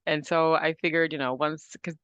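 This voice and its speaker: A woman hosting a podcast interview, speaking in a measured way with some hesitant fillers. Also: sits low, steady tone, clean tone, no echo, lo-fi sound. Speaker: podcast host, business coach.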